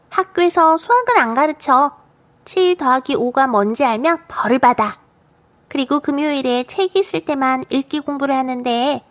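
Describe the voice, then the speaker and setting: A woman's voice: sits high, fairly bright tone. A woman voicing a cheeky, confident little girl full of fanciful tales in a children's storybook audiobook. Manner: matter-of-fact, playful tall-tale telling.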